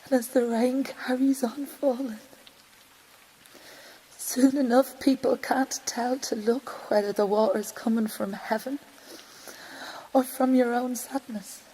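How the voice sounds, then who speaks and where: An actress playing a grieving mother in an audio drama monologue, wistful, quietly stoic and often hushed. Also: on the high side, fairly even intonation, slight background noise, poor audio. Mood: rising grief. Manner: slow, reflective, often hushed to breathy near-whisper. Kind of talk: intimate audio-play monologue.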